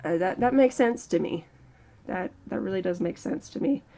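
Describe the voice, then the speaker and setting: A woman in her early thirties talking slowly and reflectively in a personal vlog, with a strained throat. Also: medium pitch, lively intonation, creaky, warm tone. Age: early 30s. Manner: slow, halting, reflective. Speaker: channel host. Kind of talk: personal mental health vlog monologue.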